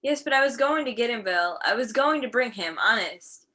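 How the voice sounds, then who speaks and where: A woman's voice: on the high side, expressive, moderate pace, bright, forceful tone. A woman in an amateur play as a defensive small-town wife and mother, folksy and pleading. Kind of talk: amateur one-act play acting. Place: filmed on a video call.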